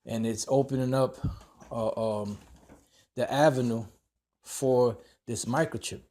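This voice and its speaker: A man's voice: medium pitch, steady tone, slow pace, dark tone. A man hosting a religious commentary, talking loosely with many fillers and a scornful edge. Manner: loose and meandering, heavy with "you know" and "uh"; scornful toward cash-free shoppers. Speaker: host of a religious commentary channel. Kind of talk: rambling religious commentary on a news article.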